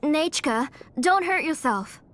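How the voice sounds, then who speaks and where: A woman's voice: high voice, lively intonation, slow, fairly bright tone, slight background noise, exaggerated voice. A woman voicing an earnest, protective mother in an anime game, serious and caring. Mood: worried scolding. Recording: game audio with music underneath.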